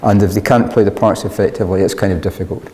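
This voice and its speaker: A man's voice: deep voice, steady tone, quick pace, warm tone, slight background noise. A man explaining things in an interview as a member of a drama club. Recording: reverberant hall.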